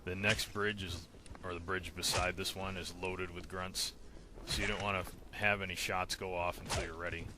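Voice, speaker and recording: Low-voiced man narrating a game walkthrough. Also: flat delivery, normal speed, background noise. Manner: plain step-by-step instructional.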